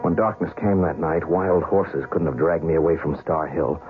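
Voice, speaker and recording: Shaken, skeptical reporter, deep voice. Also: male voice, normal speed, narrow, tinny audio, music in the background. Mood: narrating. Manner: agitated, pleading, colloquial. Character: shaken, skeptical male reporter, lovesick and stubborn. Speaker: actor in a radio drama. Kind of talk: old-time radio acting. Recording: noisy, thin old radio recording.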